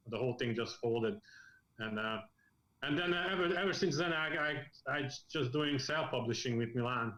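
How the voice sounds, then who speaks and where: A man's voice: medium pitch, a bit bright. A man in his forties from Hungary and the US, a comic artist, talking frankly and rambling with many restarts. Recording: echoey room.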